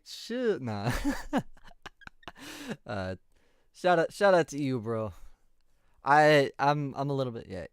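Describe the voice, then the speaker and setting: A man's voice: fairly high pitch, smooth, dry recording, studio quality. A man in his twenties giving laid-back, chatty reaction commentary on music. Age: 20s.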